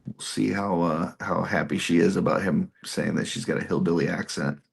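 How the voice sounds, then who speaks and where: A man hosting a live painting stream, reading viewer comments aloud in a relaxed, casual way. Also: sits low, moderate pace, vocal fry, husky.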